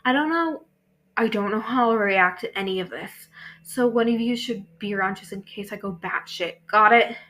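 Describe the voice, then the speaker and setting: A woman's voice: mid-range voice, moderate pace, a bit bright, close to an everyday voice, low-quality recording. A woman voicing a brooding, stressed teenage boy, terse and matter-of-fact, in an amateur story reading. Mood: firm, stressed.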